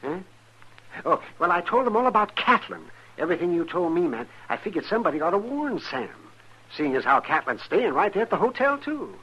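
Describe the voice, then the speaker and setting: A crusty frontier doctor in a radio western. His voice is high, sharp and raspy, animated and crotchety, on a dull old recording. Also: a little fast, full character voice. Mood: anxious. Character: crusty, excitable frontier town doctor, thrifty and talkative. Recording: muffled old radio broadcast.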